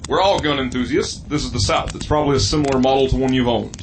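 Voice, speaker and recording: A man's voice: medium pitch, quick pace, a little hiss or hum, natural voice, rough recording. A man playing a pompous, grandiose mayor in an audio comedy drama, with florid, mock-archaic speech. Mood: haughty.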